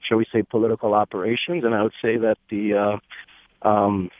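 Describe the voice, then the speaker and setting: A man's voice: lowish voice, little pitch movement, normal speed, dry recording. A man from Romania calling in to a radio show, talking emphatically and with indignation. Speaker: caller. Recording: tinny phone line.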